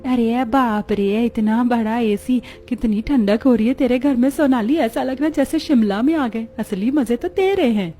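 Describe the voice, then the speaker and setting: A woman voicing a gossipy, easily amazed neighbour in an animated family story. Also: on the high side, normal speed, few pauses, lightly acted. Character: gossipy, wide-eyed neighbourhood women.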